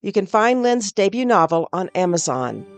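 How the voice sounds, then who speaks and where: A woman hosting a storytelling group, reading a clear, steady scripted outro. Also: medium pitch, moderate pace, soft background music.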